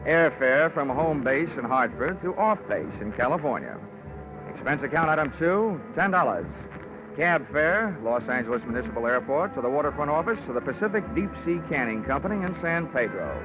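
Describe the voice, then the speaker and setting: Tinny vintage radio recording, wry hard-boiled investigator. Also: male voice, on the high side, sing-song, brisk, over music. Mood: wry narrating. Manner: dry, wisecracking, clipped. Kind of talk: 1940s-50s radio detective drama acting and narration.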